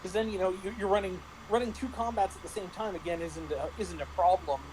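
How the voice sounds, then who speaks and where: A man in a casual panel discussion about roleplaying games, speaking haltingly as he thinks aloud. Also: sits high, fairly even intonation, crowd noise, rough recording. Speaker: tabletop game master and podcast panelist.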